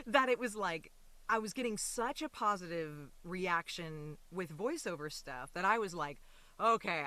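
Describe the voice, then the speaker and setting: A woman's voice: lowish voice, sharp tone. A woman talking casually and animatedly as an interview guest on a podcast, telling her own stories.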